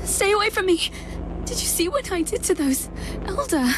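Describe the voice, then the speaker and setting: A woman's voice: very high voice, very animated, moderate pace, background noise. A woman playing a wary, wounded young woman with dangerous powers in a science-fiction game, emotional and distrustful in delivery. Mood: frightened, agitated.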